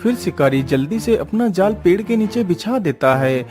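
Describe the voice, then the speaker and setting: Male narrator, slightly high pitch, scripted children's storytelling, soft music behind. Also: lively intonation, moderate pace.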